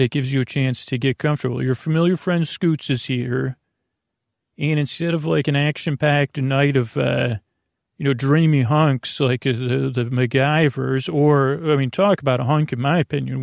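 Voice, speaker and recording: A man with a gravelly, creaky voice talks as a podcast host settling listeners in for the night. He wanders through his sentences hesitantly, sidetracking himself and gently putting himself down. The sound is dry and close, with no room around it. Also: mid-range voice, steady tone, normal speed, dry recording. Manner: meandering, hesitant, self-deprecating, digressive. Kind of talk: rambling bedtime monologue to listeners.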